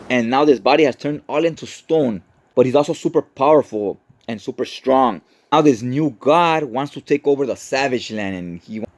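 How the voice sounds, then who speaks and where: A man's voice: medium pitch, a little mellow, dry recording. A man recapping a story in a casual, conversational style, as a host chatting to listeners. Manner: informal, conversational, checks in with "right?". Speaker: host, comic book recapper.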